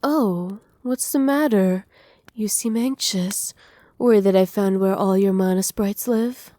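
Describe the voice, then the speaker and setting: A woman playing a doting, possessive witch in an ASMR roleplay, speaking softly with teasing, condescending affection. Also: mid-range voice, a little slow, dry recording, close to an everyday voice. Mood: soft, coaxing. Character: doting, possessive witch mistress pampering her captive pet. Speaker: voice actor.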